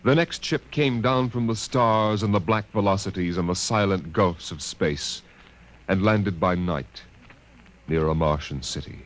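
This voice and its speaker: A man's voice: deep voice, unhurried, rough recording. A man working as narrator, reading scripted science fiction in a dramatic storytelling style.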